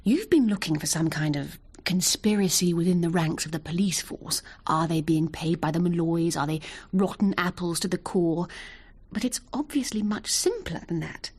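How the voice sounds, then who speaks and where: An actress playing an assertive English barrister's wife, brisk, pointed and articulate, in a British radio drama. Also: female voice, fairly low pitch, a little mellow, slightly rough. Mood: confident. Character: barrister's sharp, assertive wife, ambitious to qualify herself.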